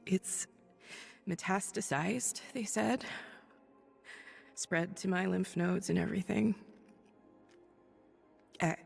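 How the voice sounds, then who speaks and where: A wry heroine, low and creaky. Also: female voice, fairly even intonation, takes it slowly, pauses often, darkish tone, soft background music, full character voice. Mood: distraught, subdued. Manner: dry, understated wit. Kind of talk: video game character dialogue.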